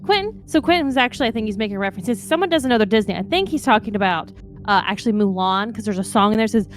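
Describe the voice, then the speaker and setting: A woman co-hosting a live stream, telling stories in a lively, chatty way. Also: mid-range voice, racing, soft background music. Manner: animated, chatty storytelling. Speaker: stream co-host. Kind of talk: casual stream chat.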